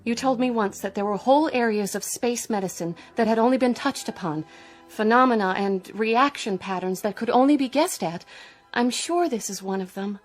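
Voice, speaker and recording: Woman acting a concerned, higher-voiced American wife. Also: moderate pace, soft background music, close to an everyday voice. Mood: worried, soothing. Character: concerned, soothing wife of an astronaut. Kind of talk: radio drama acting.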